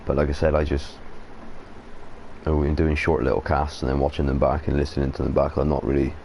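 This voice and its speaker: A man with a deep voice chatting casually while streaming a game from his bedroom over a headset mic. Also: flat delivery, fairly quick, darkish tone, slight background noise. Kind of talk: casual live gameplay commentary. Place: hot bedroom. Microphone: console headset mic.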